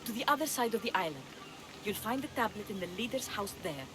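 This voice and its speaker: An actress playing a sly, flippant pirate schemer in a video game, with a teasing, casual way of talking. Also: female voice, mid-range voice, very animated, fast talker, natural voice. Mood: eager, scheming. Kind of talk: video game cutscene dialogue acting. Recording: clear background ambience noise.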